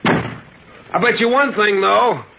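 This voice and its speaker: A man's voice: sits high, slow, creaky, full character voice. An actor playing a chatty, superstitious coal delivery man in a 1950s radio drama. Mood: chatty, uneasy. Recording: muffled, very noisy old radio recording.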